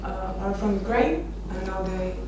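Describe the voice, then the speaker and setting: A woman in her thirties from Romania gives an informal talk as a photographer, telling personal stories with hesitant, halting phrasing. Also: mid-range voice, lively intonation. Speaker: architecture photographer giving a conference talk. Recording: echoey noisy venue, distant microphone.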